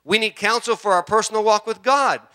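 Bright-voiced pastor in his fifties preaching. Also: high voice, fairly quick. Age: 50s. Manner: repeats key words for emphasis, builds to fervent preaching. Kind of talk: sermon. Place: church sanctuary.

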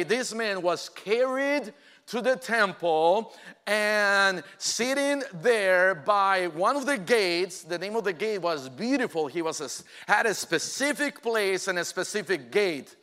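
High, bright-voiced pastor preaching slowly. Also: male voice, animated. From Mexico. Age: 40s. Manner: earnest, hesitant with frequent "uh" fillers. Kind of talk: sermon. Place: church sanctuary. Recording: long reverb from a large church hall.

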